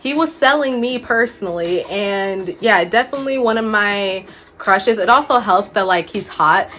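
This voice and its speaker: A woman's voice: medium pitch, animated, normal speed, a bit bright. A young adult woman chatting casually as a book vlogger, gushing and slangy, repeating words for emphasis. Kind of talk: casual chat to camera. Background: background music.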